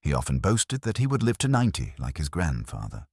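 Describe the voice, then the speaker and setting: A man's voice: rumbling low, wildly swooping pitch, a little fast, a little mellow, slightly rough, no echo, clean studio sound, full character voice. A man narrating an audiobook voices an anxious, privileged young man whose words tumble out nervously.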